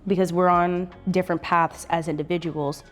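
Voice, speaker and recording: A young woman in her twenties talks in a chatty, upbeat way, earnest about what she says. Her voice sits a little low for a woman. Faint music plays behind her. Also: little pitch movement, moderate pace. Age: 20s. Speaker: co-host of couple channel. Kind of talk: casual chat.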